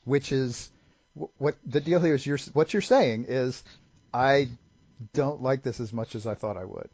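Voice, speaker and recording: A man co-hosting a podcast, chatting casually in a measured, dry way. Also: mid-range voice, a little mellow, no room sound. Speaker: podcast co-host. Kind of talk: casual chat.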